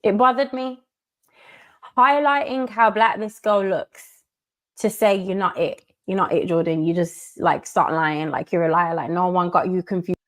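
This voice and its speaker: A woman from the United Kingdom giving commentary in a measured, emphatic way, repeating her key phrases. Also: lowish voice. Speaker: commentator in a played video. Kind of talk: monologue commentary.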